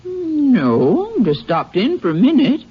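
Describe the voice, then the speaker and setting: Very animated folksy store woman. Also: sits high, takes it slowly, slightly rough, exaggerated voice. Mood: helpful, certain. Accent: American. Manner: folksy, emphatic. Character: chatty, helpful, sure-of-herself female feed store clerk. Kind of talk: 1950s radio western acting. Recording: dull, noisy old radio recording.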